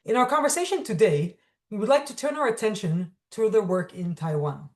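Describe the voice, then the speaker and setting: A woman host giving a scripted introduction and interview questions in a formal, measured, articulate way. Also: mid-range voice, animated, a little mellow.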